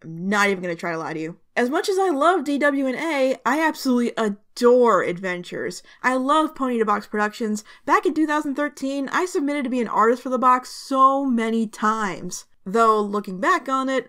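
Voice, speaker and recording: Higher-voiced woman reviewing as a fan. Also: lively intonation, moderate pace, hardly any pauses, a bit bright. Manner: enthusiastic fan, conversational. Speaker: host of a review channel. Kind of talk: scripted review narration.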